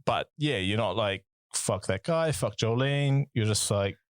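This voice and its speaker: A man's voice: fairly low pitch, measured pace, clear, resonant tone, no room sound, studio quality. A man with a New Zealand accent co-hosting a casual music podcast, rambling and swearing with lots of fillers and restarts. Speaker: podcast co-host.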